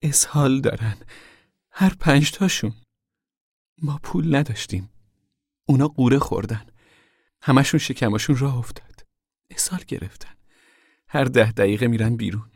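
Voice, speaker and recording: A man's voice: mid-range voice, animated, darkish tone, husky, no echo, clean studio sound, voice pushed up. A man voicing a small, timid, ashamed mother in a story, pleading in a meek, whining way. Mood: whining, defensive.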